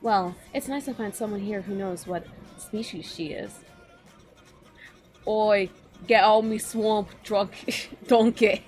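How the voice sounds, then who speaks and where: Storybook ogre played by a woman: big, gruff, friendly, Scottish accent, blunt and folksy, faint music behind. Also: mid-range voice, fairly even intonation, takes it slowly, pauses often, a little mellow, natural voice. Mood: gruff, amused.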